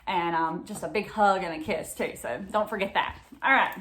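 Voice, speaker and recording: A woman's voice: fairly low pitch, moderate pace. Woman reading aloud to children, roomy echo. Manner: expressive, asks listeners simple questions. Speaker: read-aloud storyteller for children. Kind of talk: picture-book read-aloud with asides to young listeners.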